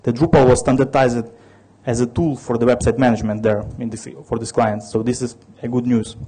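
Man, 30s, Russian accent, dark mellow voice, flat delivery, halting tech presenter. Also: medium pitch, breathy edge. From Ukraine. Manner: halting, many restarts and fillers. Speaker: conference speaker. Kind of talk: technical conference presentation.

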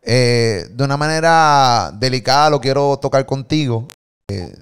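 A man hosting an interview from a studio, asking probing questions in a halting way full of fillers. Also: mid-range voice, clear, resonant tone. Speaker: host. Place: studio, indoors. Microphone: studio mic.

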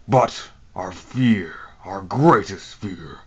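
A man voicing a solemn, weary robot leader dictating a war journal in grave, halting phrases, as in an animation audition. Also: deep voice, expressive, husky, heavily acted. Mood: grave, troubled. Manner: grave, halting, dramatic pauses. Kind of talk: dramatic monologue for animated film audition. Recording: dull, lo-fi home microphone.

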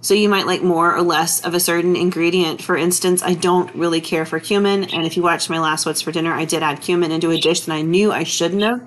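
A woman, chatting relaxed and easygoing as she narrates her cooking vlog. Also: fairly low pitch, fairly quick, hardly any pauses, a little hiss or hum. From Texas. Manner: chatty, relaxed, full of fillers. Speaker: vlogger. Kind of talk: casual kitchen vlog narration.

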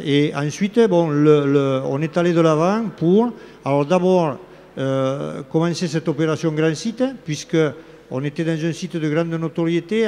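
A man's voice: fairly high pitch, a little mellow. A man in his sixties, a local elected official, speaking in a live interview on a fair-hall studio set, hesitant with many fillers and restarts. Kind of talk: live talk-show interview. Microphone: red handheld microphone. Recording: faint fair hall ambience.

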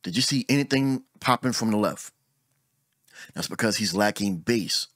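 A man's voice: on the low side, normal speed, no echo, studio quality. A middle-aged man, an audio engineer, talking casually to camera about recording vocals, repeating words as he goes. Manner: relaxed, talks with his hands, repeats words as he goes. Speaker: audio engineer and channel host. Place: small room at home. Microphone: desk mic on boom arm.

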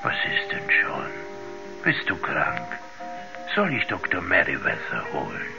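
An actor playing a shaken witness who hesitantly recalls an uncanny encounter in a 1970s radio drama. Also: male voice, very deep voice, huge pitch swings, a little slow, full character voice. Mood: alarmed, concerned. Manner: hesitant, searching for words. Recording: muffled 1970s radio play recording with music.

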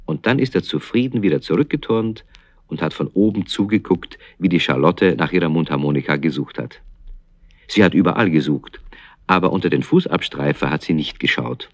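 A man's voice: low voice, a little fast, no room sound. A man narrating a children's radio play as a storyteller. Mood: storytelling. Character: male storyteller of a children's tale.

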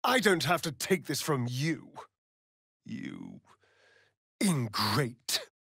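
A man's voice: mid-range voice, wildly swooping pitch, very slow, creaky, pushed and bright, breathy edge, no room sound, studio quality, exaggerated voice. A man with an English accent playing a vain, sardonic vampire rogue in a video game, with an arch, mocking, drawling delivery. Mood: bitter. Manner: arch, drawling, mocking, swinging between purr and outburst. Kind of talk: video game character voice lines.

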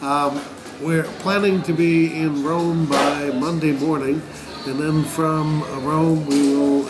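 A man's voice: on the high side, poor audio. A man in his seventies with an American accent, narrating his own personal travel video. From United States. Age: 70s.

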